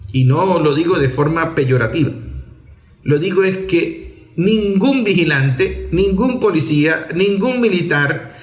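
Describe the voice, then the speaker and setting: A man with a Venezuelan accent giving political commentary in an emphatic, rhetorical way, pausing deliberately between phrases. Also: fairly high pitch. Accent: Venezuelan Spanish. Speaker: political commentator on an online program. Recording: echoey, reverberant room.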